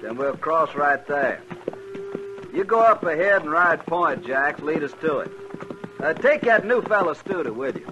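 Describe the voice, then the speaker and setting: An American actor playing a gruff, plain-spoken trail boss in a 1950s western radio drama, blunt and folksy with dry humour. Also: male voice, on the high side, expressive, measured pace. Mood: brisk, joking. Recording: noisy, muffled old radio recording.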